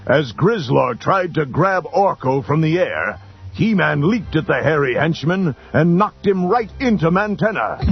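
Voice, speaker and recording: A man narrating a children's adventure storybook in a dramatic, scripted style. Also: fairly high pitch. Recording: dull lo-fi tape with music behind.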